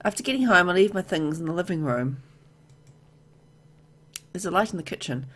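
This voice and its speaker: A woman with a low voice narrates a story game aloud in a let's play style. She reads the text as a story and drops in casual remarks of her own as the player. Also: expressive, moderate pace, fairly bright tone, husky. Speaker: gamer reading a visual novel aloud.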